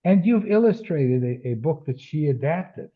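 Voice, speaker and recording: A man hosting an interview, asking questions hesitantly with many fillers and pauses. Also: on the high side, lively intonation. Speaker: interview host. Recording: tinny phone-like line.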